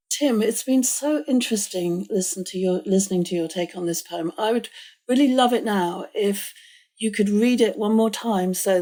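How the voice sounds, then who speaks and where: A woman hosting a podcast interview, asking questions in a measured, attentive way with halting phrasing. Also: mid-range voice, mellow.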